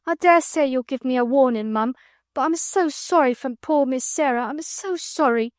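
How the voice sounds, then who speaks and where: A frightened young scullery maid, put on by a woman reading a storybook, pleads in a high, quavering voice, sniffling between words. The sound is close and dry. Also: normal speed, dry recording, close to an everyday voice. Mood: tearful pleading. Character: tearful timid young scullery maid, cockney.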